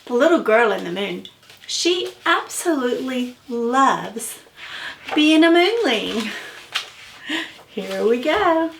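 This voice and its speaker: Slow, high-voiced woman reading to children. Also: big pitch swings, echoey room, a little hiss or hum. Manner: playful, addressing listeners directly with questions. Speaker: children's author reading her book aloud. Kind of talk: interactive read-aloud story time for young children.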